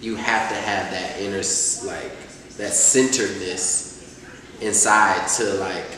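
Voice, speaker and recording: A rapper in his twenties from Atlanta, talking casually in an interview, relaxed and rambling with many fillers. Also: lowish voice, slow pace, a little hiss or hum. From Atlanta, USA. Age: 20s. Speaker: rapper, guest. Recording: echoey room, distant mic.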